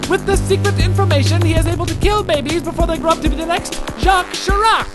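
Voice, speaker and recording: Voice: very high-pitched, quick pace, loud music behind the voice. An actor playing a mock-dramatic announcer narrating a comic superhero radio serial.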